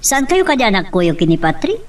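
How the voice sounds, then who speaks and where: Actress as animated, slightly distant mother. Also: on the high side, moderate pace. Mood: concerned. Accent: Ilocano. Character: concerned, questioning mother of a grown daughter. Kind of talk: radio-style romance drama acting.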